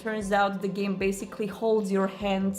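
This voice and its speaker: A woman's voice: medium pitch, little pitch movement, normal speed, darkish tone, soft background music. A woman hosting a casual video review, chatty and enthusiastic, with an informal, gushing way of talking. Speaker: YouTube host reviewing a board game.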